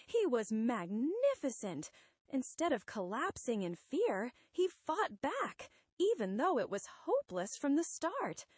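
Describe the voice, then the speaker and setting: A woman's voice: sits high, wildly swooping pitch, a little slow, darkish tone, slightly rough, no room sound, pitched above the performer's own voice, heavily acted. A woman voicing an imperious armored male supervillain who speaks in a pompous, grandiose, coldly boastful way. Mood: gloating.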